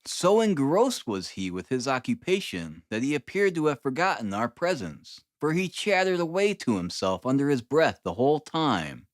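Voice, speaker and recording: Man, audiobook narrator, very animated intonation, scripted literary storytelling, dry close sound. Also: mid-range voice, normal speed, few pauses, dry recording. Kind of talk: scripted narration of a classic detective novel.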